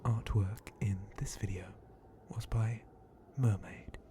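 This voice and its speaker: A man who performs ASMR, speaking a short closing credit in a hushed voice. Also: lowish voice, fairly even intonation, slow pace, background noise.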